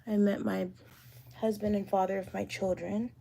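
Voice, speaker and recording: A woman in her late thirties talks into her phone at home, loose and chatty as she answers viewers' questions. Her voice is mellow and dark, with a gravelly fry running through it. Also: medium pitch, normal speed. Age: 30s. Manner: chatty and relaxed, thinking aloud while doing her hair. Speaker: realtor livestreaming a Q and A. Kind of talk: casual livestream chat. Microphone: phone camera.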